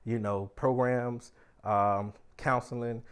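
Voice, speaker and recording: A man's voice: medium pitch, fairly even intonation, slow pace, clear, resonant tone. A man speaking candidly in an interview as a former officer, colloquial and emphatic. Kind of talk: candid, informal interview talk.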